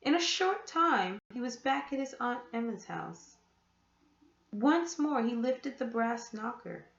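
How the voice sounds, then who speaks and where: A woman reading a children's story aloud with expressive, deliberate storytelling. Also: a little high, creaky. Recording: echoey room, dull muffled sound.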